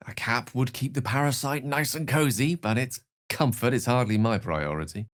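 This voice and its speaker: An actor playing an eloquent, courteous and wry wizard in a fantasy video game, with a British accent and articulate, theatrical phrasing. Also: male voice, mid-range voice, normal speed, no echo, studio quality, close to an everyday voice. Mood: wry, genial. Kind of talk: dramatic fantasy game dialogue.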